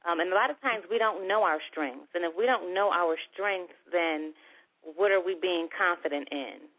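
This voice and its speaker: Soft-voiced woman guest, kinda low pitch, muffled lo-fi sound. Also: normal speed. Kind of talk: casual conversation. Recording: dull lo-fi recording.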